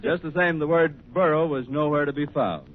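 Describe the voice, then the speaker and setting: A man's voice: a little high, normal speed. A man with an American accent, a formal and polished announcer reading scripted lines in 1930s radio style. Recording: muffled, tinny old radio recording.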